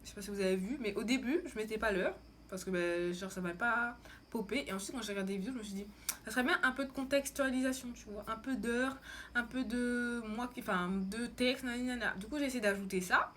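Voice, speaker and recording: A woman's voice: on the high side, brisk, fairly bright tone, slight background noise. A young woman from France chatting casually as a vlogger, with an informal, slangy, rambling way of talking. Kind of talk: casual chat to camera.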